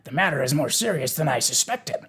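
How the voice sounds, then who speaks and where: A reader voicing an unflappable, kindly scarecrow king from a storybook, musing in a measured, mildly pompous way. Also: medium pitch, big pitch swings, a bit bright, breathy edge, heavily acted. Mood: dismissive.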